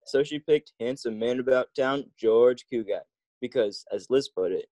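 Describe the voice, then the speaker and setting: A man's voice: mid-range voice, normal speed, mellow, no echo, low-quality recording. A man announcing a scripted old-time radio comedy as its narrator.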